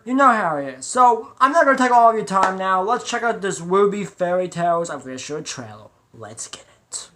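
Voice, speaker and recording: Bright, higher-pitched man chatting casually. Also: animated, moderate pace, few pauses. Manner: rambling, repetitive, addresses viewers directly. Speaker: reaction video host. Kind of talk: casual trailer reaction chat.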